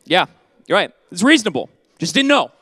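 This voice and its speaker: High-voiced pastor preaching animatedly. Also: male voice, fairly quick, slightly rough, no echo. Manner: animated, jokey, shifts to soft earnest tones. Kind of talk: sermon. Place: church stage, indoors. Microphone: handheld mic.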